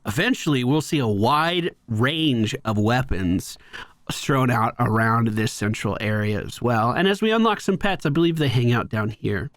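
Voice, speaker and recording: A man hosting a gaming video with playful, excited, casual commentary, joking along with the game. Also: medium pitch, moderate pace, dry recording. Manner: playful, jokey, talks to the game.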